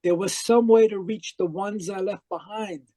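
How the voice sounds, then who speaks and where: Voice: mid-range voice, fairly even intonation, normal speed, dark tone, dry recording, close to an everyday voice. An actor in a radio mystery playing a distraught, newly dead spiritualist, dramatic and earnest. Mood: haunted narration. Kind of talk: old-time radio mystery acting and narration.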